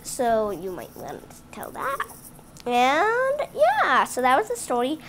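Female read-aloud host, high and bouncy pitch, unhurried, halting reading with chatty asides; phone mic over a tabletop at home. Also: huge pitch swings, a bit bright, slight background noise. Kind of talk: reading a picture book aloud to children. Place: indoors at home, book on a table.

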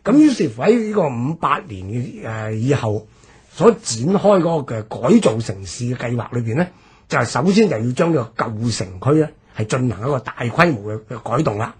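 A man with a Hong Kong Cantonese accent, explaining history as a radio presenter with drawn-out phrase endings. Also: medium pitch, big pitch swings, husky.

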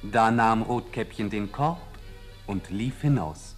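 A man narrating a scripted fairy tale as storyteller, in a measured and expressive manner. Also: lowish voice, soft background music.

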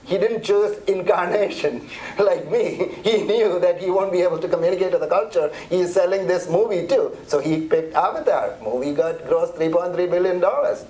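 A man's voice: sits high, moderate pace, mellow, low-quality recording. A middle-aged man from India with an Indian accent, presenting a church seminar in a chatty, conversational way with frequent restarts.

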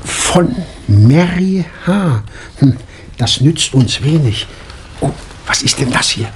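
A curious doctor-turned-sleuth talks in a lightly high, very melodic voice. It is 1960s radio play acting, with faint vinyl noise underneath. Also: male voice, lively intonation, slow pace. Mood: conspiratorial, focused. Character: male doctor, inquisitive amateur sleuth. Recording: old vinyl record with surface noise.

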